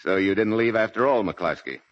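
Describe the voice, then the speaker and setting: Deep grave army commander guy man acting, stoic n terse, old forties radio drama, kinda muffled recording. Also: lively intonation, brisk, natural voice. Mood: firm, dry. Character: stoic, fatherly military commander of a besieged garrison.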